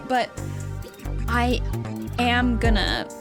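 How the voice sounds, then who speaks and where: Casual woman gaming streamer, high-pitched voice. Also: very animated, drawn-out words, over loud music. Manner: informal, joking. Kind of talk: casual gameplay chat.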